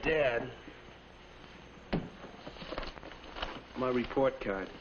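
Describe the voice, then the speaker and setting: A young man playing an anxious, earnest, polite high school boy in a 1950s instructional film, speaking deferentially. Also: measured pace, natural voice. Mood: hesitant, hopeful. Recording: muffled, noisy 1950s film soundtrack.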